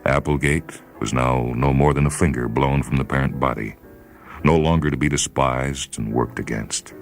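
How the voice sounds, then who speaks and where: A man reading science fiction as scripted dramatic narration, with a measured, solemn delivery. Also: very deep voice, fairly even intonation, faint music behind. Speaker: story reader and programme host.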